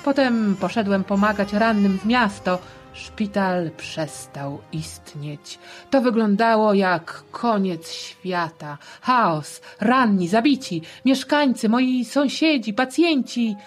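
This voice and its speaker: A woman voicing an elderly male doctor, shaken and dutiful, giving a measured eyewitness account in an audio drama interview. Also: mid-range voice, faint music behind, pitched below the performer's own voice, lightly acted. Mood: moved, shaken.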